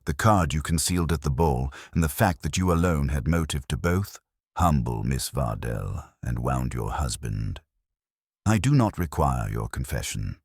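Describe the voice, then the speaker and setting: A man voicing a stern, imperious detective who speaks formally and deliberately in an audiobook mystery. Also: very deep voice, animated, husky, no room sound, clean studio sound, heavily acted. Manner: formal, deliberate, pronouncing judgment. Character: stern, imperious male genius detective, coldly accusing.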